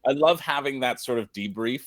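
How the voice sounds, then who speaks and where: A man's voice: medium pitch, fairly quick, dry recording. A man chatting casually on a board game stream, telling stories animatedly and acting out what his friends said. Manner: animated storytelling, acts out quoted friends, laughs often. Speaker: board game content creator, guest co-host. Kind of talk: casual live-stream chat.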